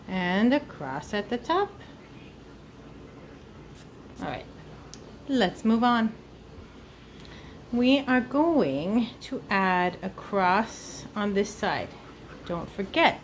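Slow-paced woman teaching drawing. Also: lowish voice, slight background noise. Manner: informal, thinking aloud while drawing. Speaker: art teacher. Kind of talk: step-by-step drawing lesson for children. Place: indoors at a table.

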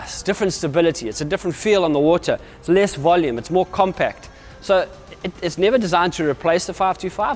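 A man in his forties explaining the kayak range to camera in an indoor showroom, energetic and gesturing, with frequent restarts. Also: fairly high pitch. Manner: energetic, gestures constantly while talking, many restarts. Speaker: kayak company representative. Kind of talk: explanatory product talk to camera. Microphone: camera at medium distance.

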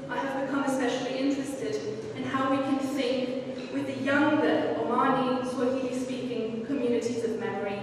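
A woman academic reading a prepared conference paper in a measured way, with a few fillers. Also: on the high side, darkish tone, a little hiss or hum. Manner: measured, scripted delivery with occasional fillers. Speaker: academic panelist. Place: lecture room with projected slides. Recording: echoey hall recorded from far off.

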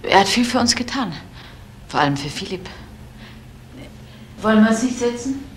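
A woman's voice: on the high side, expressive, moderate pace, slight background noise, lightly acted. An actress playing a middle-aged mother, resigned, devoted and worried, subdued and wistful in a crime radio play. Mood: wistful, tender.

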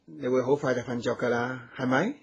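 A man acting a devoted, gentle hunter hero with a husky voice in a Hong Kong Cantonese radio drama. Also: mid-range voice, steady tone, a little fast. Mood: tender, earnest. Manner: gentle, solicitous, earnest. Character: tender, devoted hunter hero and new husband.

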